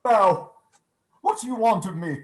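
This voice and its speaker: Male actor as old miser, skeptical, curt, blustering, slow delivery, swooping pitch, radio play, roomy sound. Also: lively intonation. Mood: wary, testy. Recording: roomy video-call sound.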